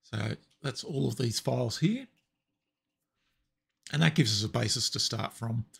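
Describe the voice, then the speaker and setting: A man with a raspy voice explaining software steps in a relaxed, step-by-step tutorial. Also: medium pitch, a little slow. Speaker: tutorial presenter. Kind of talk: screen-recorded software tutorial.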